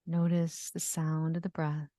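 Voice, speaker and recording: A woman leading a guided yoga nidra relaxation, giving gentle, soothing instructions with long pauses. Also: sits low, little pitch movement, no room sound, clean studio sound. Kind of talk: guided meditation.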